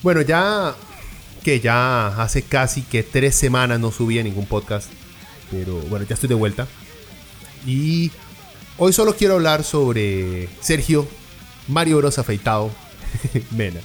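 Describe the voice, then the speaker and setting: A man's voice: mid-range voice, lively intonation, measured pace, pauses often, soft background music. A man from Peru hosting a podcast, who delivers opinionated political commentary in a blunt, sarcastic way with frequent fillers. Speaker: podcast host.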